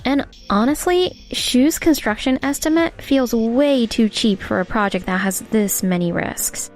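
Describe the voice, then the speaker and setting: A woman's voice: on the high side, measured pace. A woman voicing a careful, perceptive young secretary in an earnest manga dub style. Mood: businesslike. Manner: earnest, professional. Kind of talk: manga dub dialogue acting. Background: faint background music.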